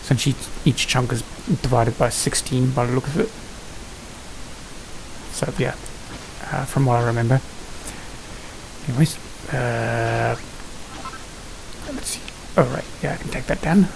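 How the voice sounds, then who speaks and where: A gamer with a creaky, fry-laden voice at a middling pitch gives casual commentary on a game. The speech is muttered, like thinking aloud. A faint steady hiss sits under the voice. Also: unhurried, long pauses. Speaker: gamer, channel host. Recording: hissy microphone with steady noise.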